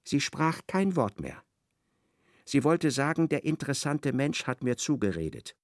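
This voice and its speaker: A man narrating a literary radio play in a measured, scripted manner. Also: medium pitch, very animated, dry recording, studio quality. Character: measured male narrator of a satirical novel.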